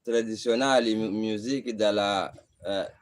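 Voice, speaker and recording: A man's voice: fairly low pitch, steady tone, measured pace. A man greeting a livestream's viewers, switching between several languages with hesitant fillers. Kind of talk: casual live chat.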